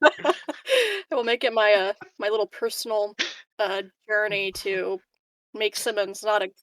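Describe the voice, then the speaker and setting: A woman playing a tabletop roleplaying game, improvising dialogue casually in a halting, playful, teasing way. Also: mid-range voice, fairly bright tone, no room sound.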